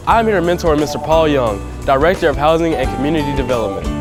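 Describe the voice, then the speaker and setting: An American man working as an interviewer, delivering scripted introductions and prepared questions. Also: a little high. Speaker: interviewer, host.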